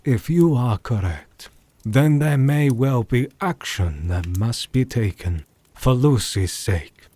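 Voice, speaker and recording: A man playing a grave, courteous scholarly doctor in an audio drama, speaking formally with measured politeness. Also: medium pitch, animated, warm tone, husky. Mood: grave, earnest.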